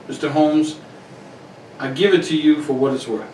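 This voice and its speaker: A man's voice: fairly high pitch, fairly even intonation, moderate pace, a little mellow, lightly acted. A man playing a proud, defensive wealthy husband with a blunt, formal manner in a radio play. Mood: grave. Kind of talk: amateur radio-play acting. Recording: roomy, distant recording with faint noise.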